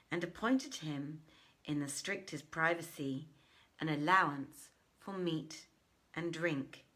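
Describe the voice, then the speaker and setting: A woman reading an old tale as dramatic scripted narration, in a formal, deliberate, theatrical way. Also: lowish voice, fairly bright tone. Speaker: audiobook reader.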